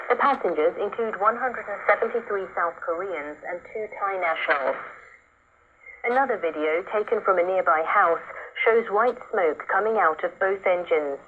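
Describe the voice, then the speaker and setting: A woman anchor reading the news in a measured, formal way, heard over shortwave radio. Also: fairly low pitch. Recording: tinny shortwave radio reception with hiss.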